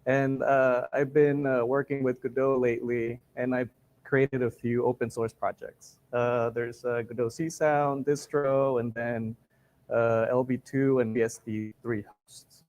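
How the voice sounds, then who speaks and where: Guy from guatemala presenting at a tech conference, lots of uh's, even mid voice. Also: medium pitch, a little slow. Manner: hesitant, many "uh" fillers. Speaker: conference speaker, software engineer. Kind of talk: technical talk. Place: conference stage.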